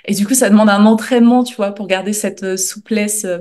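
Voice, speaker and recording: A woman's voice: medium pitch, steady tone, brisk, a little mellow. A woman from France speaking as a podcast guest, in an informal, reflective conversational way. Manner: informal, reflective, often checking with "tu vois". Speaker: podcast guest, journalist and author. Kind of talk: casual interview talk.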